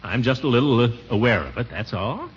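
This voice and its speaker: Pompous, blustering radio-comedy uncle, animated voice. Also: male voice, medium pitch, fairly quick, husky. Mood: flustered bluster. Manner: blustery, evasive, hesitant, chuckling. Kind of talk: 1940s radio comedy acting. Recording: noisy, muffled 1940s radio recording.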